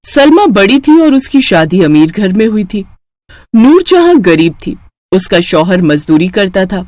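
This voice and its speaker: A woman's voice: mid-range voice, big pitch swings, a little slow, darkish tone, slight background noise. A woman narrating a scripted moral story for children.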